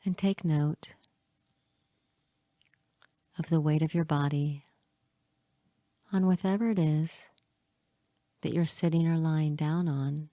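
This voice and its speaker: Very slow, low, mellow woman guiding meditation. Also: steady tone, dry recording, clean studio sound. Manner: soothing, hushed, with long pauses between short phrases. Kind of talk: guided hypnosis meditation.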